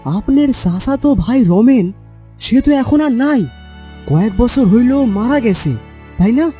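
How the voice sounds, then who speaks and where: A man's voice: very high-pitched, lively intonation, a little slow, dark tone, faint music behind, pitched above the performer's own voice. A man voicing an honest young village boatman in an audio horror story, earnest and emphatic, with a rural Bangladeshi accent. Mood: curious.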